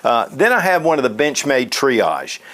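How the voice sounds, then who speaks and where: A man's voice: mid-range voice, big pitch swings, moderate pace, fairly bright tone, a little hiss or hum. A man presenting gear in a casual product demonstration, explaining things step by step in a matter-of-fact way.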